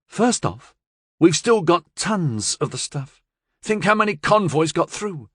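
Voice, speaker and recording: A man's voice: high-pitched, sing-song, unhurried, a bit bright, slightly rough, no echo, studio quality, pitched above the performer's own voice, full character voice. A man voicing a cool, confident gangster's woman in an audiobook, speaking in a firm, assured, coaxing way. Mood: persuasive, assured.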